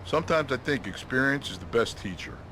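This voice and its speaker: An actor voices a gruff older bike shop boss, a blunt, scolding man. He has a middle pitch, with clear noise behind him. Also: lively intonation, a little fast, close to an everyday voice. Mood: critical.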